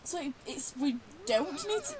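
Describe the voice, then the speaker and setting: High-pitched, animated woman chatting over gameplay. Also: unhurried, a crowd in the background. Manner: chatty, reactive, wry asides. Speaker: gaming video host reacting while playing. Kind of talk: casual gameplay commentary.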